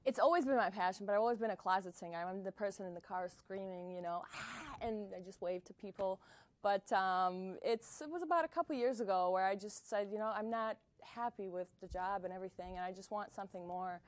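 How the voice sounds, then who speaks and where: Soft-spoken young woman from Bosnia. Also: mid-range voice, brisk, no echo. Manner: soft-spoken, hesitant, trailing off with fillers. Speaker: singer-songwriter guest. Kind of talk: casual interview answers.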